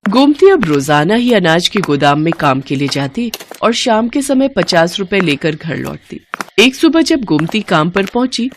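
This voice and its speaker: A woman's voice: fairly low pitch, very animated, normal speed, a little hiss or hum. A woman narrating a scripted cartoon story as a storyteller.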